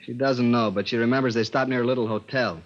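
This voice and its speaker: An actor playing a patient bilingual police officer who interprets in a matter-of-fact, measured manner in a 1950s radio drama. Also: male voice, medium pitch, steady tone, close to an everyday voice. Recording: dull vintage 1950s radio recording.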